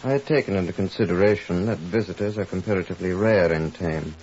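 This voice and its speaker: An actor playing an authoritative police superintendent, measured and clipped, in a 1950s radio drama. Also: male voice, low-pitched, darkish tone, close to an everyday voice. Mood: composed, official. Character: composed, authoritative Scotland Yard police superintendent. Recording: dull, hissy 1950s radio recording.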